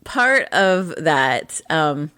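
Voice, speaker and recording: A woman co-hosting a podcast in casual chat, husky in her morning voice, chatty and laughing often. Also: low-pitched, very animated, very slow, sharp tone, no echo. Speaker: podcast co-host.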